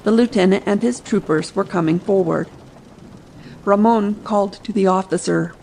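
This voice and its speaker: A woman's voice: medium pitch, moderate pace, vocal fry, mellow, slight background noise, rough recording. A woman narrating a scripted adventure audiobook.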